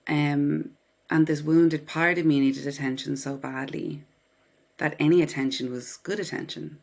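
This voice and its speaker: Interview guest, female, Dublin Irish accent. Low pitch, conversational first-person storytelling. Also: steady tone, a little slow, gravelly. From Dublin, Ireland. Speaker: podcast guest, writer and psychotherapist.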